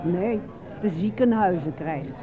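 Een actrice die in een hoorspel een brutale, spottende, grofgebekte stadse vrouw speelt, theatraal van toon. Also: female voice, on the low side, animated, measured pace, a crowd in the background. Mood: matter-of-fact. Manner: coarse, theatrical. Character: brash, mocking, foul-mouthed city woman. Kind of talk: radio play acting. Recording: noisy, phone-like old radio recording.

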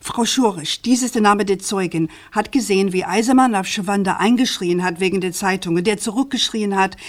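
A woman's voice: medium pitch, fairly quick, close to an everyday voice. A woman playing a persistent, indignant journalist in a radio play, speaking articulately and with accusatory insistence. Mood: insistent recounting. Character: persistent, indignant female journalist confronting a prosecutor.

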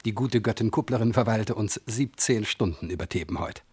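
An actor playing a gruff, unromantic middle-aged servant husband in a comic verse radio drama, brusque and mocking. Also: male voice, lowish voice, brisk, darkish tone. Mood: wry.

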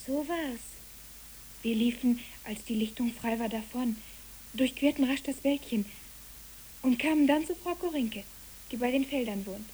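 A woman plays an earnest young storyteller in a radio drama. Her high voice tells a dreamlike tale simply, over a faintly hissy old recording. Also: unhurried, pauses often, darkish tone, natural voice, poor audio. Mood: earnest, narrating. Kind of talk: literary scripted narration in a radio play.

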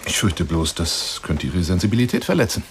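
Theatrical, wildly swooping obsessive hunter. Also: male voice, low voice, lively intonation, a little fast, slight background noise. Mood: insinuating, coaxing. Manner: effusive, insinuating, swinging between whispers and exclamations. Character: eccentric, obsessive lion hunter wooing a woman by telephone. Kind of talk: theatrical radio play monologue.

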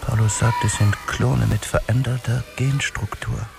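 An actor in a German radio drama playing a blunt, sardonic man who confronts others in a husky, accusing voice. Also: medium pitch, steady tone, a little slow. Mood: appalled, probing. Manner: confrontational, sarcastic. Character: blunt, sardonic male visitor, appalled and accusing.

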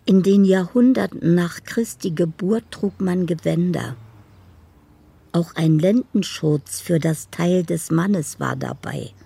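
Gravelly, low-pitched dreamy woman. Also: warm tone, heavily acted. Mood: dreamy, poetic. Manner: slow, poetic, measured delivery. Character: dreamy older woman in assisted living, poetic letter writer and reminiscer. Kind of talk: radio drama monologue.

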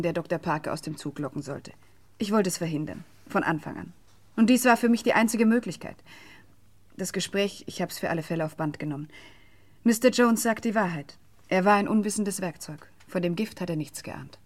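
An actress playing an earnest, anguished woman making a confession in a 1960s German radio crime drama, halting and emotional. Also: medium pitch, animated, natural voice. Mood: pleading confession.

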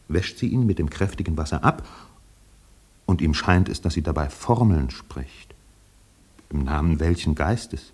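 Literary radio play narrator: low register, measured, solemn, poetic delivery. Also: male voice, a little mellow, breathy edge, heavily acted.

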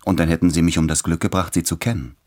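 Actor doing an ardent guy reading his love letters, deep voice, earnest n intense, radio play. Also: steady tone, fast talker, darkish tone, close to an everyday voice. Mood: tender, earnest. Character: tender, ardent, anxious male letter-writing lover.

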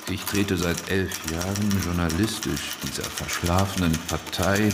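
An actor playing a self-important, scheming would-be publisher in a radio play, dictating pompously with muttered asides and self-corrections. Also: male voice, low-pitched, vocal fry, exaggerated voice. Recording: roomy, noisy radio drama scene.

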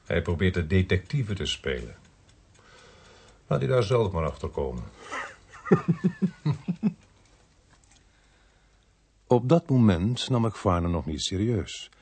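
An actor playing a sceptical, world-weary police inspector in a Dutch radio play, dry and sardonic. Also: male voice, deep voice, big pitch swings, slow pace, long pauses, darkish tone, breathy edge, exaggerated voice. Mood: amused.

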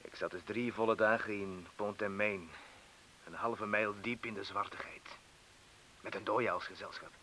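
An actor playing a rough, boastful yet fearful former miner in a radio play, talking gruffly and colloquially and prodding his listener. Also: on the low side, fairly even intonation, normal speed, bright, forceful tone. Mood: brooding, reminiscing. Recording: hissy old radio play recording.

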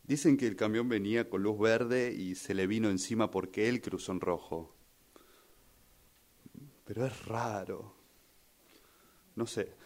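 Un hombre con acento argentino que interpreta en un radioteatro a un hijo en duelo, dubitativo y lleno de sospechas. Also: male voice, on the low side, unhurried, pauses often, a little mellow, close to an everyday voice. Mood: uneasy, suspicious. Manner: hesitant, repeats phrases. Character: grieving son troubled by suspicions over his father's death. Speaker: radio drama workshop participant. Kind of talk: rehearsed radio drama acting.

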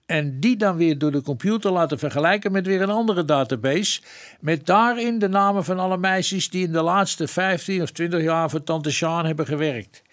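A man's voice: a little high, normal speed, dry recording, clean studio sound, natural voice. An actor playing an eager, deferential junior policeman in a radio drama, polite, informal and hesitant. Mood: eager, tentative.

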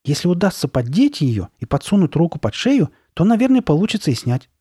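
A man's voice: fairly high pitch, big pitch swings, brisk, mellow, breathy edge, no room sound, studio quality, voice pushed up. A man voicing a worried, careful girl who coaxes and soothes with growing unease, in a horror storybook. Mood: careful, thinking aloud. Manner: coaxing, puzzled, growing fearful. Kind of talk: dramatised horror storybook reading.

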